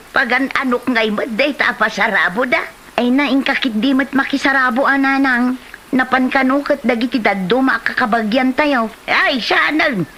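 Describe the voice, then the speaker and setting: A woman's voice: high voice, sharp tone, heavily acted. A woman acting a gossipy, nagging character in an Ilocano comedy drama, chatty and full of exclamations. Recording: faint noise behind the voice.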